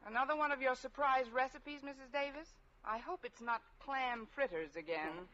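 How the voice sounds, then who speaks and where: An American actress playing a wry, wisecracking schoolteacher with dry, sardonic delivery in an old-time radio comedy. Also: female voice, high-pitched, normal speed, a bit bright. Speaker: radio sitcom lead actress. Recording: muffled, noisy old radio recording.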